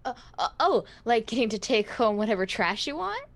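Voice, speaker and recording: A naive young man who adores radio, voiced by a woman, talks high and with big swings in pitch. He stammers eagerly and earnestly, in radio comedy style. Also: big pitch swings, brisk. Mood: anxious. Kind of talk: scripted radio comedy acting.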